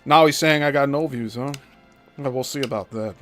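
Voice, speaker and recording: A man's voice: medium pitch, moderate pace, fairly bright tone, faint music behind. A man hosting a reaction commentary over clips, scornful and profane, mocking the person in them.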